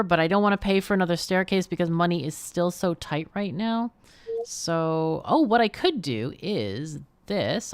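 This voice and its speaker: A woman giving casual live game commentary, chatty and expressive, often muttering to herself as she thinks aloud. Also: on the low side, clean tone, dry recording. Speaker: game streamer, host.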